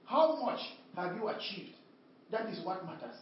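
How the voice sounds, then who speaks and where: A woman's voice: medium pitch, big pitch swings, normal speed. A woman preaching a sermon, repeating emphatic refrains and calling out to the congregation. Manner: repetitive call-and-response preaching, emphatic refrains. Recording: echoey hall, distant mic, dull sound.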